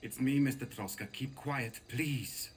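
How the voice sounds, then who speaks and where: An actor playing an earnest, desperate resistance fighter pleading for help, in dramatic video game style. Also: male voice, mid-range voice, a little slow, slight background noise, natural voice. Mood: hushed, pleading. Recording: music behind the voice.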